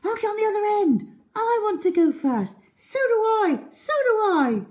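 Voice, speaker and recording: A woman voicing eager, excited small schoolchildren in a children's storybook, with a squeaky manner. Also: normal speed. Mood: eager excitement.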